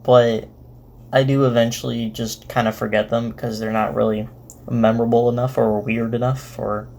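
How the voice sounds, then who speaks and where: A man's voice: medium pitch, level tone, normal speed, creaky, a little mellow. A man giving casual, off-the-cuff reaction commentary, rambling and repeating his words. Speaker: reaction host.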